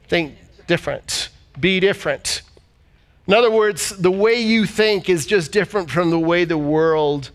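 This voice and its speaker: A man's voice: high-pitched, fairly bright tone. A man preaching a sermon as pastor, reading scripture aloud and asking the congregation to repeat words back to him. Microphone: church service streamed online.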